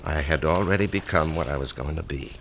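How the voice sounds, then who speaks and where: A man's voice: rumbling low, a little fast, gravelly, a bit bright, heavily acted. An actor playing an insecure, weary salesman and husband in a radio drama, speaking in an earnest, hesitant way. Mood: confessional, downcast. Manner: earnest, hesitant, self-doubting. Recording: noisy vintage radio drama recording.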